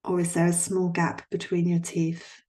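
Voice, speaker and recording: Low-pitched woman guiding a relaxation meditation. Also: completely flat delivery. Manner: soothing, gently instructing, with long pauses. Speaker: yoga nidra relaxation guide.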